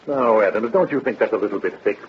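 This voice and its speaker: An actor playing a brisk, confident male police detective, pressing and wry, in a 1930s-style radio crime drama. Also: lowish voice, slight background noise, lightly acted. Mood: stern, accusing. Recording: dull old radio recording.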